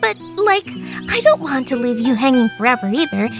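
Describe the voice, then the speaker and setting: A woman voicing a friendly, considerate high school senior girl in an anime fandub, with a thoughtful, gentle manner. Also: sing-song, a little fast, over music. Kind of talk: anime fandub radio play.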